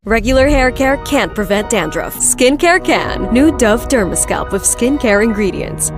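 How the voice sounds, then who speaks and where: A woman's voice: fairly high pitch, expressive, a little slow. A woman doing a scripted commercial voice-over for a product, over music. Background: music bed.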